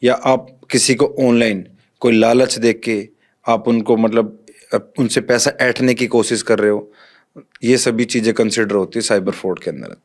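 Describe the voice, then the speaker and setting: A man's voice: medium pitch, steady tone, moderate pace. A man with a mid-range voice answers as an expert police guest. He talks in an instructive, conversational way, walking the listener through examples one step at a time. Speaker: police officer, interview guest. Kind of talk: explanatory interview talk with examples.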